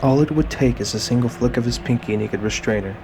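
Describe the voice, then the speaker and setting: A man's voice: mid-range voice, quick pace, noisy background. A man reading scripted, dramatic narration for an audio drama. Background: music.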